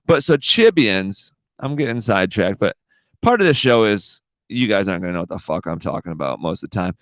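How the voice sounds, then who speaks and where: A man's voice: on the low side, clean tone, no echo. A young man hosting his own podcast, rambling in a laid-back, profane way and saying dude a lot, into a podcast microphone. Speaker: podcast host. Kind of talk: rambling casual monologue. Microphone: studio podcast microphone.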